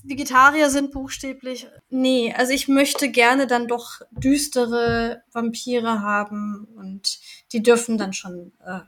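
A woman's voice: a little high, little pitch movement, slow, a bit bright. A woman co-hosting a podcast in casual chat, emphatic and repeating her words. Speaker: podcast co-host.

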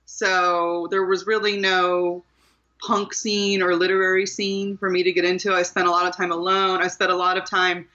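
A woman's voice: lowish voice, level tone, normal speed, sharp tone, dull audio. A woman in her forties from southern Maryland, talking thoughtfully as an interview guest with frequent ums and restarts. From southern Maryland, USA. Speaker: guest author. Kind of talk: interview conversation.

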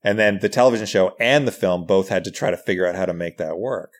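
A man with a low-pitched voice talks casually as a guest on a podcast. He thinks aloud as he goes, halting and picking up again in a conversational, anecdotal style. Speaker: podcast guest, writer and comedian.

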